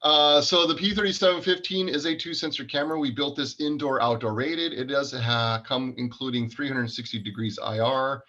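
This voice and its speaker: A man's voice: mid-range voice, fairly even intonation, moderate pace, hardly any pauses, bright, forceful tone, lo-fi sound. A man giving an informal product presentation in an explanatory, technical manner.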